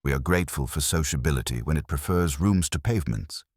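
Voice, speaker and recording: A man reading a courteous, quietly firm police inspector in an audiobook, polite, understated and formal. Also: rumbling low, fast, slightly rough, dry recording, studio quality, full character voice. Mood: courteous, measured.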